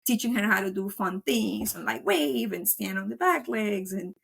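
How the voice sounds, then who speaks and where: Chatty woman with a Colombian accent, gravelly. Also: medium pitch, normal speed, a bit bright. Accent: Colombian Spanish. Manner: chatty, rambling, many "like" fillers. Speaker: guest, veterinary technician and instructor. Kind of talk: casual interview chat. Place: home setup, remote recording. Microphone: video call.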